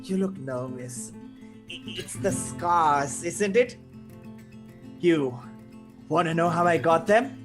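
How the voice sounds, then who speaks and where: A man playing a manic, menacing criminal clown in a live table read, taunting with drawn-out words and cackles. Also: on the high side, expressive, faint music behind. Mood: sinister, taunting.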